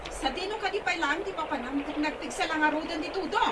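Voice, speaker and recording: A woman's voice: sits high, fast talker, music in the background, natural voice. A woman acting a bossy but caring elder sister figure with an Ilocano accent in a radio drama. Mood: surprised.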